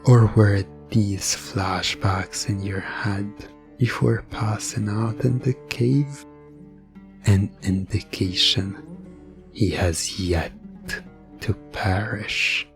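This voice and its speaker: A man's voice: lowish voice, gravelly, a little mellow, exaggerated voice. A man playing a theatrical, teasing storyteller who narrates a suspenseful audio drama serial. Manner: theatrical, drawn-out suspenseful questions.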